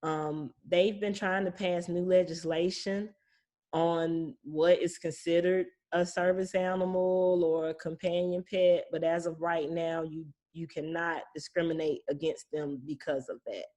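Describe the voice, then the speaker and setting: A woman with a slightly low voice talks as a property manager guest. She explains things in a folksy, plain-spoken way, drawing on stories from experience and voicing bits of what people say. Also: little pitch movement, unhurried, dark tone. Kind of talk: conversational expert explanation with anecdotes.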